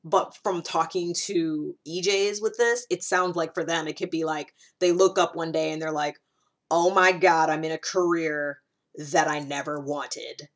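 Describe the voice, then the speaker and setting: Woman vlogger w a lowish voice, just chatting openly, kinda rambly, says like a lot. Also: steady tone, a little slow. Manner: rambling and conversational, frequent "like"; candid, self-reflective. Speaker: video host. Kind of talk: casual personal vlog about personality typing.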